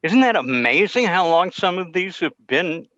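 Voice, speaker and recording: A man's voice: sits high, sing-song, moderate pace, fairly bright tone, no echo. A man presenting in a casual, informal, musing way over a video call. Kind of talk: casual chat. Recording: dull video call audio.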